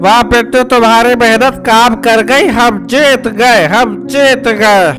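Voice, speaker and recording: A man's voice: extremely high pitch, fairly even intonation, takes it slowly, music in the background. A man voicing a determined, encouraging villager and loyal friend in a Hindi cartoon.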